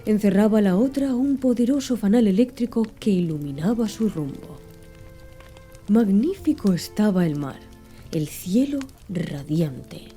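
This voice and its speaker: Very mellow soft-spoken woman narrator. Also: medium pitch, animated. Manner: soft, slow, hushed reading. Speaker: audiobook narrator. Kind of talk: ASMR audiobook narration. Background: faint background music.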